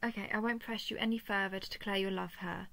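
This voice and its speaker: A woman's voice: mid-range voice, steady tone, gravelly, fairly bright tone. A woman voicing an affectionate, playful and easily flustered female dragon in an intimate audio roleplay. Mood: gentle, coaxing. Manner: tender, teasing, halting when flustered.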